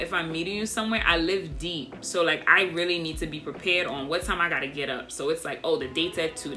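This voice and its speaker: A young woman vlogger telling a dating story to her viewers in a casual, chatty way, speaking softly without projecting. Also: deep voice. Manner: soft, doesn't project, monotone by her own account; chatty and animated, addresses viewers as y'all.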